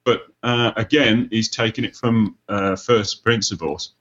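A man's voice: on the low side, steady tone, measured pace, a bit bright, dull audio. A British man from the United Kingdom, an author speaking as a podcast panelist, talking casually with frequent hesitations. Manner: hesitant, lots of "uh" fillers.

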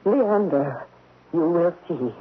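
A woman's voice: lowish voice, lively intonation, takes it slowly, phone-quality sound. An actress playing an earnest, righteous society woman in a radio drama, firm, composed and moralizing. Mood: firm, reassuring. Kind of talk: old-time radio drama acting. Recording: thin, hissy old radio broadcast.

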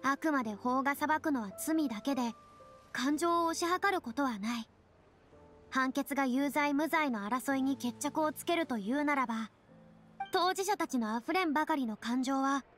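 High-voiced, theatrical young stage diva. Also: female voice, normal speed, a bit bright, faint music behind. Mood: musing, lofty. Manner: boyish first person, lofty, theatrical phrasing. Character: theatrical, self-assured young female former stage star. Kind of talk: Japanese anime game voice acting.

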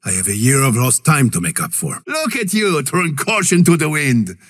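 An actor playing a hearty, courteous and dauntless adventurer in a video game, with a theatrical, husky delivery. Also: male voice, mid-range voice, wildly swooping pitch, normal speed, fairly bright tone. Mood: grave. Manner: theatrical, courteous, punctuated with tag questions. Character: hearty, gentlemanly adventurer and fighter, jovial, dauntless. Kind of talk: video game character voice lines.

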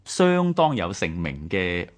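A man's voice: low-pitched, very animated, slow pace. A man with a Hong Kong Cantonese accent co-hosting a radio history programme, explaining points step by step in conversation.

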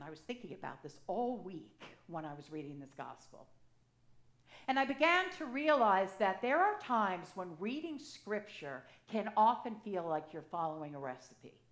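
Storytelling woman priest in echoey church. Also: mid-range voice. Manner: conversational, personal storytelling with gestures. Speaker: assisting priest. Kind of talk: sermon. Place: church sanctuary, at a pulpit. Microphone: gooseneck pulpit mic.

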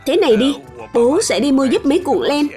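A woman's voice: high-pitched, sing-song, fairly quick, a little mellow, soft background music. A woman voicing an easygoing, reasonable father in a dubbed children's cartoon. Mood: reasoning.

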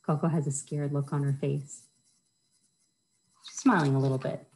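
A woman's voice: sits low, flat delivery, normal speed, a little mellow, slight background noise. A middle-aged American woman hosting a children's storytime, reading and chatting simply to young listeners. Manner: simple, child-directed talk with questions to listeners. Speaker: children's librarian, storytime host. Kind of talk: children's storytime read-aloud with chatty asides. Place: indoors, small wood-panelled room. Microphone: webcam or laptop at arm's length.

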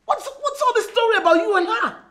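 A woman's voice: medium pitch, big pitch swings, a little slow. A woman with a Nigerian accent playing an indignant, jealous wife in a comedy film, confronting with repeated rhetorical questions. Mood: cold indignation. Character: indignant, jealous wife scolding her cheating husband. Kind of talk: Nollywood comedy film acting.

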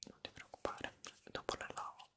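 A man whispers soothingly and breathily in an ASMR barber roleplay, reassuring and softly repeating words, close to the microphone. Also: normal speed. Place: small dim room indoors. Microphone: close studio microphone in front of him.

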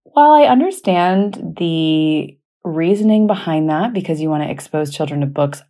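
Woman, low pitch, slightly husky, conversational podcast host, informal and anecdotal. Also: animated, moderate pace, dark tone. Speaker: podcast host and elementary teacher. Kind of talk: casual spoken podcast talk.

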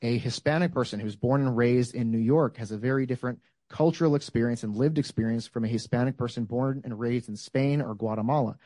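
A man's voice: mid-range voice, fairly quick, dark tone, no echo. A man in his thirties speaking at a panel discussion, making his case step by step with examples and frequent fillers. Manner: thoughtful, builds arguments with examples. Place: lecture room, standing. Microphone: handheld microphone.

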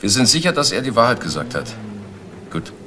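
A man's voice: mid-range voice, big pitch swings, brisk, pushed and bright. A man acting a matter-of-fact police detective in a German crime radio drama, speaking tersely and in a businesslike way. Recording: noisy retro radio play recording.

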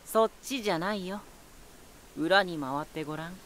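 Anime voice drama casting: ancient lighthouse spirit, male, youthful sound, soft, gentle, easygoing, familiar. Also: high-pitched, normal speed, a little hiss or hum. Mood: gentle, guiding. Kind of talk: anime-style voice drama acting.

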